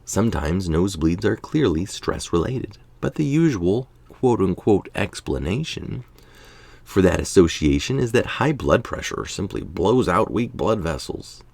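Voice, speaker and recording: Casting: tired man, middle-aged, low creaky voice, informal article reader who drops in offhand remarks. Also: very animated, measured pace, a little mellow, dry recording. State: tired late at night. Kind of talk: reading an article aloud with casual asides.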